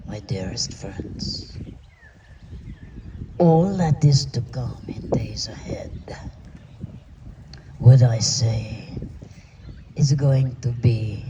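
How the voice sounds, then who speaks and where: A spiritual teacher giving a slow channeled message to a group in formal, old-fashioned phrasing, often sinking into a breathy whisper. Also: mid-range voice. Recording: distant mic with clear background noise.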